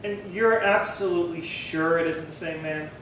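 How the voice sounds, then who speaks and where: A man playing a laconic police detective sidekick asking brief, low-key questions in a radio crime drama. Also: steady tone, normal speed, fairly bright tone, a little hiss or hum, natural voice. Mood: curious. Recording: very echoey room, far from mic.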